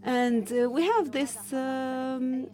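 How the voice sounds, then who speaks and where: A woman interpreting a panel discussion live, in halting, stop-start phrases with frequent restarts. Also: sits high, little pitch movement, warm tone. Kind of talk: simultaneous interpretation of a panel talk.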